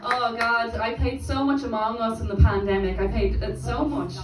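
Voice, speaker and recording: A woman's voice: on the high side, steady tone, normal speed, a crowd in the background. A young woman from Dublin doing a stand-up set on a club stage, chatty and self-deprecating, laughing at her own jokes. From Dublin, Ireland. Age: young adult. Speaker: stand-up comedian. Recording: roomy venue, distant mic, audience noise.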